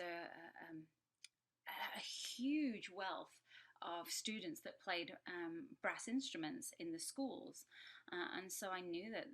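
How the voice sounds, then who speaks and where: A middle-aged woman from Yorkshire speaks with a plain Yorkshire accent in a low voice. Her tone is bright and forward. She talks in a relaxed, chatty way over a remote call, with lots of ums and small restarts. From Yorkshire, England. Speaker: guest brass educator. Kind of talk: relaxed conversational self-introduction.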